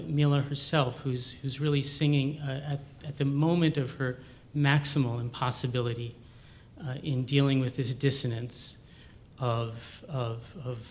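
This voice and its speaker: Guy introducing a piece, hesitant, repeats himself searching for words, bit of rumbly noise. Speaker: composer. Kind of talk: unscripted talk introducing a performance. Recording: noisy room with low rumble.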